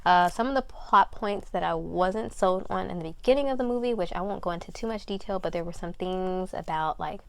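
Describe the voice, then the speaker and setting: A woman's voice: on the low side, a little fast, creaky, hardly any pauses, no room sound. A woman chatting casually as a film reviewer, informal and hedging, often repeating herself for emphasis.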